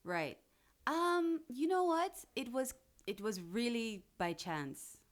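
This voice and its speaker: A woman from Jamaica, a radio presenter, chatting as a guest in a studio interview, playful and quick to laugh. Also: on the high side, very animated.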